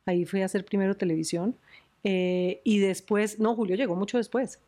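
A middle-aged woman with a Colombian accent, a veteran news presenter. She talks in an animated, storytelling way and laughs often, chatting easily with her interviewer. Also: medium pitch, normal speed. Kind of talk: podcast interview.

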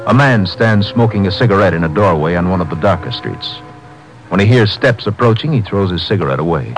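Male storyteller, low register, scripted 1950s radio crime narration on an old broadcast recording. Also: expressive, brisk, over music, poor audio.

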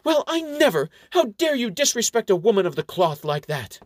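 A man's voice: a little high, lively intonation, normal speed, a bit bright, no room sound, voice pushed up. A man voicing a flustered, brazen young woman adventurer who is bluffing her way as a nun in an audio story. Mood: mock outrage.

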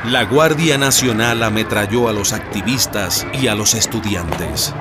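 A man's voice: fairly low pitch, unhurried. A man narrating a historical radio drama from a script. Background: music.

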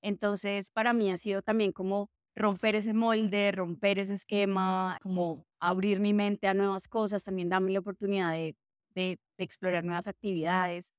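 A woman's voice: mid-range voice, flat delivery, normal speed, no room sound, clean studio sound. A young woman from Colombia, chatting in an interview and filling her talk with lots of small fillers. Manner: chatty, full of fillers like "o sea" and "pues". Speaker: guest, café co-founder. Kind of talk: casual conversational interview.

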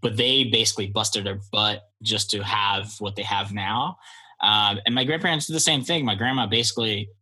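Polish-born guy, husky and really bright voice, relaxed rambling chat. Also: lowish voice, normal speed. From Poland. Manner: relaxed, rambling, with many fillers. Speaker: guest entrepreneur. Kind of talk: casual interview talk.

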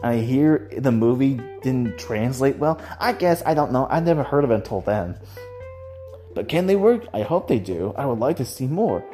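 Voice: mid-range voice, animated, normal speed, mellow, soft background music. A person giving casual, rambling solo commentary as a host, with a hesitant way of talking that circles back over phrases.

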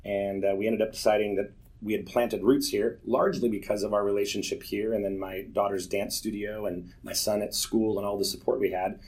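A man's voice: lowish voice, steady tone, brisk, a little mellow, poor audio. A man in his forties giving an earnest, candid personal testimony to a church group, telling stories with self-deprecating humor. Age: 40s.

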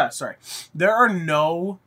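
A young man co-hosting a laid-back podcast, his voice bright and a touch higher than most. He's sniffly from a mild cold and rambles through his thoughts with plenty of "ums" and chuckles. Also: lively intonation, takes it slowly. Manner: rambling, filler-heavy, laughs often. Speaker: podcast co-host. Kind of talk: casual chat.

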